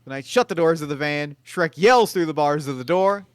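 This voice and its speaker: A man reads the stage directions in a quiet, even voice that sits a bit high for a man. The sound is dry and close. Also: moderate pace, clear, resonant tone, dry recording. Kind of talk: reading stage directions aloud.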